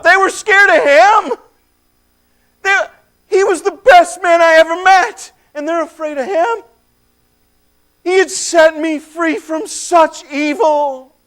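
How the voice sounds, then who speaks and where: A man performing a first-person dramatic monologue as a tormented man freed from possession, swinging between hushed and shouted lines. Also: very high-pitched, measured pace, long pauses, voice pushed up. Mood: tender, fervent.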